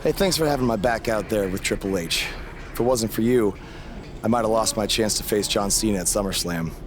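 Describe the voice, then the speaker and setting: A man playing a brash, defiant rising wrestler in a video game, speaking with punchy, drawn-out emphasis. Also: lowish voice, noisy background, lightly acted. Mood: sincere.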